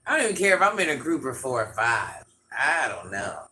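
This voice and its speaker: A man with an itchy, scratchy throat, hosting a livestream in a grandmother persona and chatting through viewer comments with quick laughs and asides. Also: mid-range voice, sing-song, pushed and bright. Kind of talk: casual live chat answering viewer comments.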